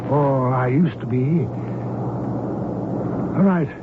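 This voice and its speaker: An actor playing a smug, coolly threatening hijacker cult reverend and former pilot in old-time radio drama style, formal and commanding. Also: male voice, mid-range voice, takes it slowly, close to an everyday voice. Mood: cool menace. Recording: tinny, noisy old radio broadcast recording.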